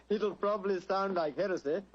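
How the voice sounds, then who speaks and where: A polite, worldly theatre manager acted by a man speaks in a lightish, slightly high voice with small hesitations. It is an old radio drama recording, a little muffled and hissy. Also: moderate pace, close to an everyday voice. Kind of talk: 1940s radio drama acting.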